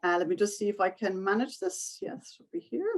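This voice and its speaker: A woman's voice: mid-range voice, big pitch swings, brisk. A woman whose first language is German, giving a conference presentation over a video call, explaining steadily with many fillers and restarts. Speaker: invited speaker.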